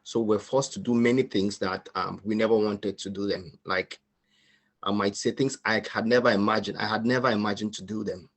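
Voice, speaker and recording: A man tells his own story in a fairly low voice, halting and earnest, often restarting a sentence. He speaks into a computer mic on a video call. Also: fairly even intonation. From Cameroon. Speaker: panelist sharing his asylum story. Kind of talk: personal testimony.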